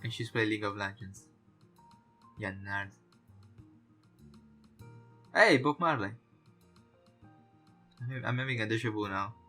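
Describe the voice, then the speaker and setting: A man's voice: on the low side, slow, pauses often, bright, forceful tone. Casual male let's-play host over music. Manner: casual swearing. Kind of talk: casual let's-play commentary with on-screen text read aloud.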